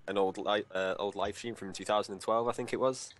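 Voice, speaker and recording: Brief: British male guest on a voice call, low voice, easygoing, hesitant speech. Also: fairly even intonation, a little fast. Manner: laid-back, hesitant, laughs often. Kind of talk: casual chat.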